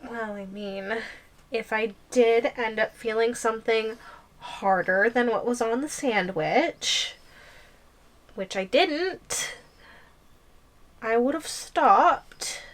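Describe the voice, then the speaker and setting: A woman's voice: a little high, slow pace, pauses often. A woman playing a teasing, possessive giantess girlfriend in an ASMR audio roleplay, speaking playfully with hums and sighs.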